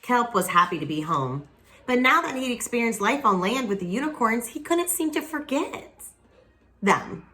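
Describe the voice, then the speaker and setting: A woman reading a picture book aloud expressively, with dramatic pauses and casual asides to young listeners. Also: on the high side, big pitch swings, bright, forceful tone. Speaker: children's picture book reader.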